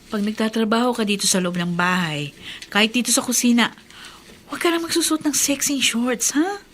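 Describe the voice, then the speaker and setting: A woman's voice: fairly high pitch, brisk, fairly bright tone, a little hiss or hum, lightly acted. A woman playing a protective household mistress in a radio drama, with a firm, motherly, instructing manner.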